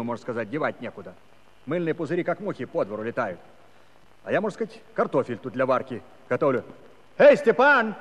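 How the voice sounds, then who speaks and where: An actor playing a chatty, wry, folksy Cossack fellow narrating in a comic radio play. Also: male voice, high-pitched. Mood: shouting, annoyed. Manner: folksy, wry, filler phrases. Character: chatty rustic Cossack fellow on kitchen duty. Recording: reverberant hall.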